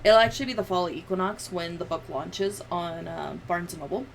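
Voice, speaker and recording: A woman livestreamer chatting casually, rambling and thinking out loud as she goes. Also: on the low side, brisk, gravelly, background noise.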